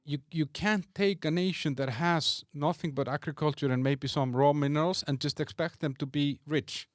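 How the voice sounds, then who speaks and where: A man's voice: on the high side, normal speed, no room sound, studio quality. A man from Iceland, hosting a podcast, who argues in a hesitant, reasoned way and often repeats words. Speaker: podcast host. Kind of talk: casual debate chat.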